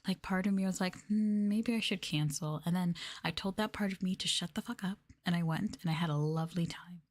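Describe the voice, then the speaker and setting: Soft-spoken, whispery woman doing ASMR. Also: on the low side, a little fast. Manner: gentle hushed delivery, often slipping into whisper. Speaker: streamer host of an ASMR livestream. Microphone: close microphone for headphone listening.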